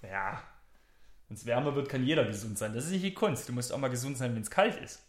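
A man's voice: medium pitch, fairly quick. Man, casual podcast chat, enthusiastic, rambling, echoey room. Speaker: podcast co-host.

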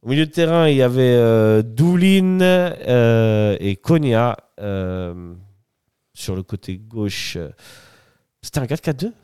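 A man's voice: mid-range voice, animated, takes it slowly, mellow, clear, resonant tone, no echo, clean studio sound. A man co-hosting a football podcast, joking and hesitating as he runs through team lineups. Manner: jokey, many hesitations, reads team lineups. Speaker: podcast co-host. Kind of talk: casual football analysis chat.

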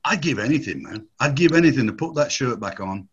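A man's voice: medium pitch, animated, fairly quick, slightly rough, lo-fi sound. A man in his fifties, a former footballer, reminiscing in a rambling, nostalgic way with frequent restarts and laughs. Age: 50s. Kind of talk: casual reminiscing chat.

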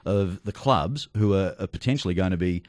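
A man's voice: deep voice, a little fast, darkish tone, no room sound, clean studio sound. A man with an Australian accent hosting a sports radio panel show, chatting casually and switching to an upbeat announcer tone.

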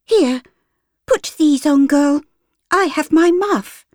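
A woman's voice: sits high, animated, takes it slowly, darkish tone, no room sound, studio quality, voice pushed up. A woman voicing a prim, curious little girl from a wealthy family. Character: well-off little Victorian girl.

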